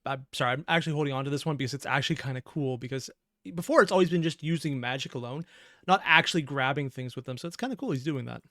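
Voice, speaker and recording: Male reaction host, mid-pitched, wry off-the-cuff commentary with musing questions, very dry close recording. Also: fast, fairly bright tone, no room sound. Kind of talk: casual running reaction commentary.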